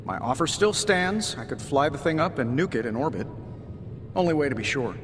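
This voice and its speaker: A man's voice: on the high side, expressive, fairly quick, background noise, close to an everyday voice. Man playing a glib American superhero, reverberant. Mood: sardonic. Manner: glib, sarcastic, wisecracking. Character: cocky, wisecracking inventor superhero man. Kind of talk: video game cutscene acting. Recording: long hall reverb under music.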